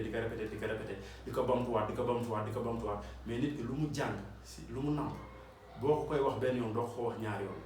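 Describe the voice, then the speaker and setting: A man's voice: mid-range voice, darkish tone, slight background noise. A man with a Senegalese accent, an electrician giving an earnest motivational talk to his audience and repeating his points for emphasis. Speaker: electrician and channel host. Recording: roomy echo, distant mic, faint ambience.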